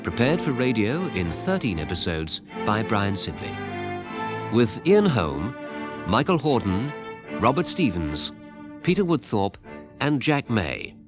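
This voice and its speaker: Male British announcer for radio drama: low, very animated, scripted, music underneath. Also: a little slow. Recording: 1980s radio broadcast over music.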